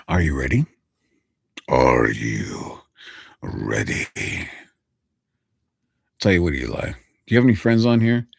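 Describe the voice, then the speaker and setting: A man's voice: low voice, wildly swooping pitch, slow pace, vocal fry, long pauses, darkish tone, slightly rough, dry recording, studio quality. A man, a voice actor, chatting casually on a livestream and talking straight to a viewer. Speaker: voice actor streamer.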